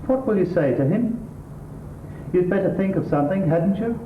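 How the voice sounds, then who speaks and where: Sardonic middle-class English husband played by a man, lightish pitch, exasperated, theatrical; tinny, distant stage recording. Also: animated, normal speed, roomy sound, slight background noise. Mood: lecturing. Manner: exasperated, pedantic, dry sarcasm. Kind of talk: theatrical stage comedy acting.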